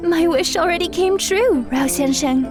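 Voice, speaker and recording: A woman's voice: very high-pitched, moderate pace, over music. A woman playing a devoted, determined young female adventurer in an audio drama. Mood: tender.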